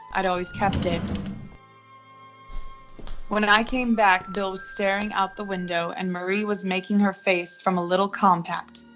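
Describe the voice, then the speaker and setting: A woman's voice: medium pitch, fairly bright tone, muffled recording, lightly acted. A young woman acting an earnest, lovestruck, wounded heroine who narrates a 1940s-style radio suspense play. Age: young adult. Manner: earnest, dramatic. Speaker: high school theatre student actor.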